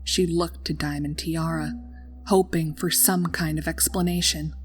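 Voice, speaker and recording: A woman narrating a scripted horror story in a dramatic, deliberate storytelling manner. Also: low-pitched, fairly even intonation, music in the background. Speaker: fan fiction narrator.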